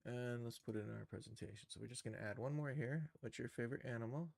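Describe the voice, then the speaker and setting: Creaky-voiced man talking through a screen tutorial. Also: medium pitch, fairly even intonation, fast, a little mellow, no echo. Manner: thinking aloud, muttering short step-by-step remarks. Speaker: game developer streaming a tutorial. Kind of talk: casual screen-share walkthrough, narrating own steps.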